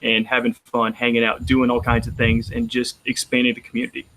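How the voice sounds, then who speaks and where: A man's voice: medium pitch, completely flat delivery, brisk, pushed and bright, a little hiss or hum, low-quality recording. A man with a Texan accent chatting casually on a roundtable, rambling with frequent restarts. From Texas. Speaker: roundtable panelist. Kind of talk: casual chat.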